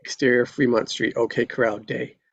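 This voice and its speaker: A man with a creaky, gravelly voice at a middle pitch reads screenplay action as narrator. The recording is somewhat dull and lo-fi. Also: little pitch movement, brisk, a bit bright. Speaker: narrator reading stage directions. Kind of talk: scripted narration at a live table read.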